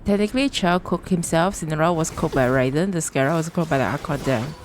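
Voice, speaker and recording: A woman doing casual live commentary while she plays a game, excitable and exclamatory, often talking to herself. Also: low-pitched, fast talker, slight background noise. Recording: faint game music behind voice. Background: game music.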